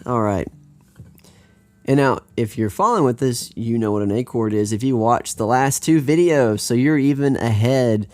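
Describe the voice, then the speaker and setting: A man's voice: mid-range voice, moderate pace, a little mellow. An American man teaching a live guitar lesson, talking in an upbeat, chatty way that wanders and restarts.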